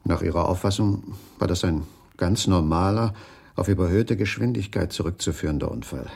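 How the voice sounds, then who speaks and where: An actor playing a troubled, brooding husband in a radio crime drama, grave and subdued. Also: male voice, deep voice, normal speed, creaky, darkish tone, husky, exaggerated voice. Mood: worried, grave. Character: troubled, brooding husband puzzling over wife's suspicious accident. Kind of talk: 1980s German radio crime drama dialogue.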